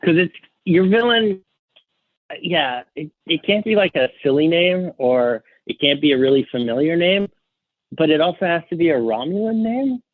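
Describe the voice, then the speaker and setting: A man's voice: a little high, animated, normal speed, dry recording, narrow, tinny audio. A man speaking casually as a writer guest on a podcast, often interrupting himself and joking.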